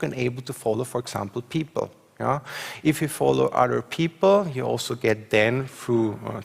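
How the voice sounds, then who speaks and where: A man's voice: mid-range voice, vocal fry. A man from Austria with an Austrian accent, a university professor giving a conference talk with slides, hesitant and full of fillers, often checking in with the audience. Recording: long hall reverb.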